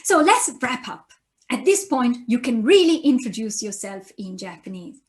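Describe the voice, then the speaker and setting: A woman's voice: a little high, big pitch swings, moderate pace. A woman whose first language is Italian, with an Italian accent, giving a recorded beginner language lesson and patiently spelling out sounds for learners to repeat. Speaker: university Japanese lecturer.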